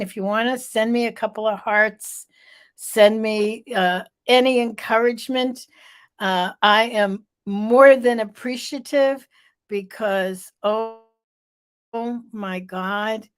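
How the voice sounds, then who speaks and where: Slow-talking stuffy middle-aged woman. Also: medium pitch, smooth. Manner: slow, storytelling, emphatic repetition. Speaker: vlog host. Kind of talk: live vlog reflection.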